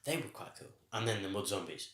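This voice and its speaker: A man co-hosting a podcast, chatting with eager enthusiasm. His voice sits a little low but sounds bright and forceful. The room is noticeably echoey. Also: lively intonation, fast talker. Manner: enthusiastic, laughs often, acts out reactions. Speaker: podcast co-host. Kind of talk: casual review chat.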